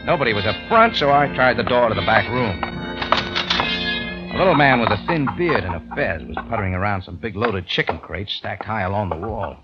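An actor playing a tough, brisk American cafe owner who narrates his own adventure in a hardboiled radio drama, curt and slangy. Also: on the low side, lively intonation, close to an everyday voice. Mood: tense narration. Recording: muffled old radio recording, music behind.

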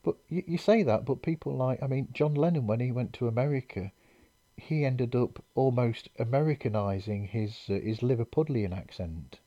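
A man hosting a podcast, chatting in an easygoing, agreeable, measured way. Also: mid-range voice, warm tone, no room sound. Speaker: podcast host. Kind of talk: casual chat. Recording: dull lo-fi audio.

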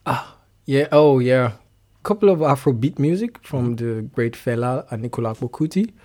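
A man's voice: medium pitch, expressive, darkish tone. Young Nigerian-accented man, casual guest, frequent laughs and self-corrections. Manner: laughs often, halting restarts. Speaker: guest musician. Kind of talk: casual interview chat.

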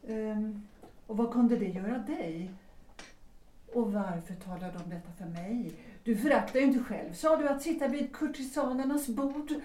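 A woman playing an unhappy, lovestruck married woman in a period audio drama, speaking earnestly and deliberately. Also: fairly high pitch, dark tone, recorded from a distance, a little hiss or hum, close to an everyday voice. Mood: guarded. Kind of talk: period stage-play audio drama acting. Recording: roomy echo, away from mic.

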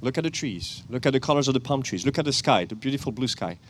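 A man's voice: medium pitch, slight background noise. A man with a French accent gives a chatty sermon outdoors, quizzing the congregation and laughing often. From France. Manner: animated, chatty, laughs often, quick with self-corrections. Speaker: guest preacher, church elder and chemist. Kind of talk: lively outdoor sermon with audience questions. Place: outdoor church service with planes overhead.

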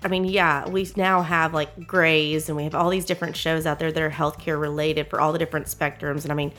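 A woman's voice: low voice, little pitch movement, brisk, a bit bright, soft background music. A woman, sounding nasal from allergies, hosting a casual podcast in an upbeat, friendly way. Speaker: podcast host.

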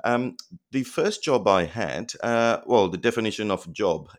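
A man from Sri Lanka in his forties, a guest chatting over the phone with many pauses and ums. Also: fairly low pitch, lively intonation. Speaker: guest, productivity consultant. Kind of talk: casual phone interview.

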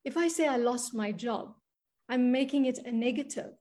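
A woman's voice: fairly high pitch, moderate pace, warm tone. A woman from South Africa, a money and spiritual coach, talking earnestly in an interview and often checking in with "right?". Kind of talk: conversational interview.

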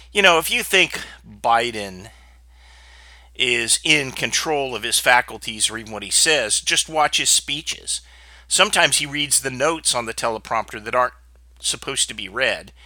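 A man's voice: medium pitch, pushed and bright, no room sound. A man hosting his own podcast, stuffed up from sinus trouble, talking bluntly and rambling with plenty of fillers. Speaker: podcast host. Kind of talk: casual solo podcast talk.

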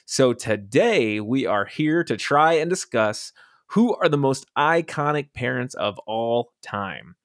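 Lively jokey man hosting a podcast. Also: medium pitch, takes it slowly, dry recording, clean studio sound. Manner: animated, jokey, laughs often. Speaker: podcast host. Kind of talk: casual podcast chat.

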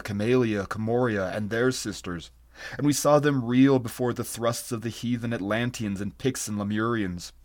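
A man's voice: mid-range voice, close to an everyday voice. A man voicing an ancient blind, tortured alien creature from a fantasy story, sorrowful and solemn, in grave archaic speech.

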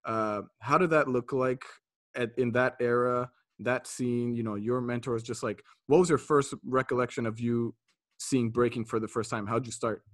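A man speaks as a podcast host in an informal, chatty style. His pitch is average and his questions are loosely phrased. The recording is dry and close, with no room sound. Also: fairly even intonation, normal speed, dry recording. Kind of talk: casual interview chat.

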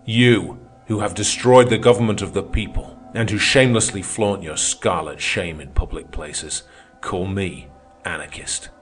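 A man's voice: low-pitched, unhurried. Man voicing a fiery scornful orator. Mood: denouncing. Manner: scornful, declamatory, thundering. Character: fiery defiant male socialist orator. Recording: music playing underneath.

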